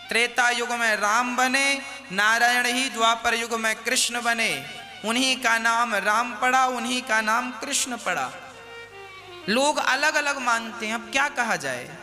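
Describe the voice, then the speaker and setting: A man's voice: extremely high pitch, pushed and bright, long reverb tail. A man preaching a devotional sermon, scolding and questioning his listeners with rhetorical questions.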